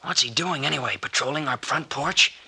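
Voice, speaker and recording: A man's voice: fairly high pitch, fairly quick, creaky, sharp tone, full character voice. An actor playing an earnest, alert young man, speaking with clipped urgency in an old-time radio serial. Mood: questioning. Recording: hissy old radio recording with clicks.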